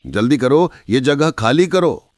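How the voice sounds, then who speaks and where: A man playing an arrogant, greedy rich merchant villain who mocks and bullies in an animated moral story. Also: medium pitch, a little slow, dry recording, close to an everyday voice. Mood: dismissive, demanding. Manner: mocking, bullying, gloating.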